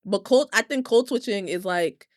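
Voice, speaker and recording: A woman's voice: medium pitch, normal speed, dry recording, studio quality. Emphatic American woman talking casually. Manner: emphatic, explanatory, slangy with swearing. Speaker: podcast panelist. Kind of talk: casual opinionated discussion.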